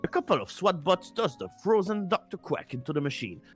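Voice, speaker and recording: A man's voice: high voice, very animated, a little fast, slightly rough, soft background music. A man streaming a fan fiction reading in a dramatic, comic narration style.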